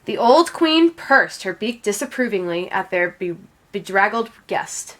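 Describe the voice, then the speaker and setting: A woman reading a children's picture book aloud as an expressive storyteller. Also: medium pitch, measured pace, slight background noise. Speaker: read-aloud storyteller, teacher.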